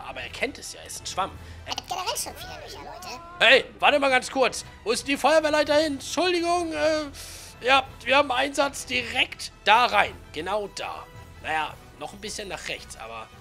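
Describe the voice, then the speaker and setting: Man in his twenties, gaming commentator, bright forceful voice, gravelly edge, slangy, hyped, has a cold. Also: high-pitched. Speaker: gaming YouTuber. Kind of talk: excited gameplay commentary.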